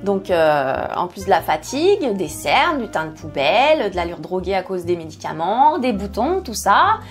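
A woman's voice: mid-range voice, sing-song, moderate pace. A woman in her forties speaks to camera from a home kitchen set, chatty and self-mocking, with plenty of hesitations. A faint bed of music sits behind her voice. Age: 40s. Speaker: skincare YouTuber. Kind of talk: candid personal vlog. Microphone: fixed camera, seated at medium distance.

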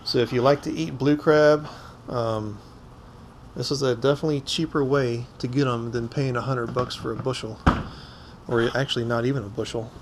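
A man's voice: medium pitch, steady tone, moderate pace. A man casually walking through his gear item by item, as the host of a hands-on fishing vlog. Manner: informal, explaining item by item. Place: indoors in a cluttered garage. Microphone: head-mounted action camera. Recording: clicky, noisy action-camera audio.

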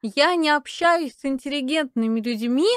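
A man's voice: very high voice, normal speed, a bit bright, dry recording, clean studio sound, voice pushed up. A man voicing an indignant, exasperated mother in her late thirties who scolds with rhetorical questions in a satirical radio play. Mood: indignant, scolding.

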